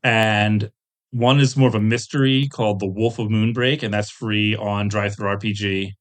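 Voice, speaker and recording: A middle-aged man chatting casually as an interview guest, talking in a rambling, halting way and often correcting himself. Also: lowish voice, steady tone. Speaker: podcast guest, tabletop game designer.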